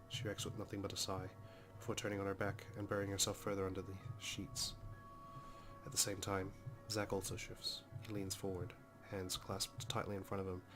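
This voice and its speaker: A man reading first-person visual novel narration aloud as a dramatic story. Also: low voice. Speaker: let's play host reading the game's text. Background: game music.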